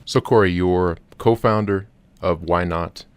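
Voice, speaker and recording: A man interviewing guests for radio, asking relaxed, conversational questions. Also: deep voice, a little slow, slight background noise.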